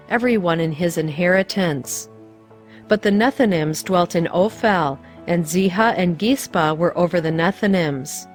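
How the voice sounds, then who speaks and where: Low-voiced woman reading scripture. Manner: measured, formal reading. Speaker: Bible reader. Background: music.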